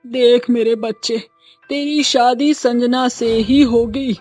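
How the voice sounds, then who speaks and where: A woman voicing a scheming, bossy mother-in-law who gives curt orders in a husky voice. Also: on the high side, steady tone, takes it slowly, a little mellow, faint music behind. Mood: commanding. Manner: commanding, curt.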